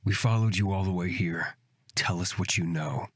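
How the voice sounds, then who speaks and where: A very deep, husky and dry-sounding man, the stern companion in a fantasy game. He is curt, impatient and demanding. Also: moderate pace, no echo. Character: stern, impatient, serious male travel companion. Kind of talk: visual novel voice acting.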